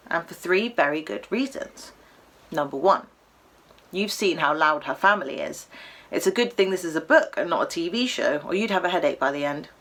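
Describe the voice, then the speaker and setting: A British woman reading a children's story aloud expressively, with animated delivery and dramatic pauses. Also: fairly low pitch.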